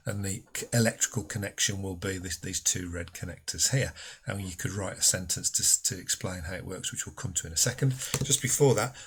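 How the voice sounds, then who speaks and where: A man's voice: on the low side, slight background noise. A man speaking as a physics teacher, explaining a school lesson patiently and informally, step by step.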